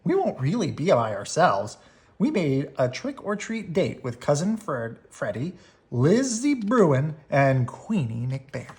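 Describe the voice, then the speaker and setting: A woman's voice: deep voice, big pitch swings, slow pace, darkish tone, full character voice. A woman voicing an eager, slightly cautious young boy bear cub in a children's storybook.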